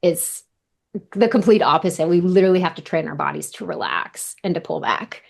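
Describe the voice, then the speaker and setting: A woman's voice: sits low, very animated, a little fast, gravelly. A woman in her thirties, a vocal coach, chatting casually in an interview and repeating her words often.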